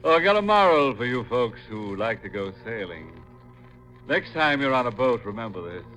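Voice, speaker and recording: An actor playing a ghoulish, gleefully macabre horror host on 1950s radio, with a sardonic, drawn-out, punning delivery. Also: male voice, medium pitch, big pitch swings, pushed and bright, soft background music, poor audio. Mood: mocking glee.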